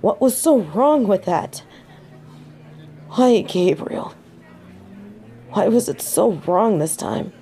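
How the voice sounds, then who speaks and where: A woman's voice: medium pitch, lively intonation, slow, long pauses, dark tone, a little hiss or hum. A woman playing a snobby, centuries-old fallen angel in denial, speaking in formal, pompous phrasing in a scripted audio roleplay. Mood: defensive, distraught. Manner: formal, precise, pompous diction. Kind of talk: scripted character roleplay monologue.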